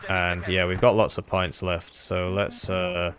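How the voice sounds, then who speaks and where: A man's voice: low voice, little pitch movement, a little slow, crowd noise. A man giving casual, rambling and self-deprecating commentary on his own strategy game as he plays.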